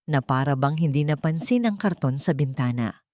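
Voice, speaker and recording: A woman's voice: low-pitched, expressive, moderate pace, mellow, dry recording, clean studio sound. A woman narrating a scripted dramatic story.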